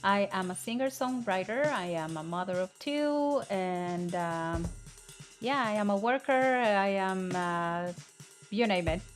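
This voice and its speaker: A middle-aged woman from El Salvador, a singer-songwriter talking earnestly in a casual conversation, with many halting fillers. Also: medium pitch, lively intonation, soft background music.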